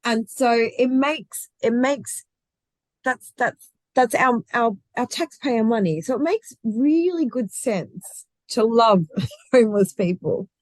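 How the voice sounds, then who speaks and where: A woman's voice: a little high, lively intonation, slow, a little mellow, dry recording. Passionate woman, dry sound. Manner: passionate, restarts often, laughs easily. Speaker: guest, recruitment founder. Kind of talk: conversational interview.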